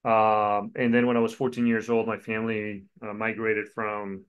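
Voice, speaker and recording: Podcast guest, Colombian-born pastor in his 40s, low smooth voice, relaxed. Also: normal speed, highs cut off. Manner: relaxed storytelling with many fillers. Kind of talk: podcast interview.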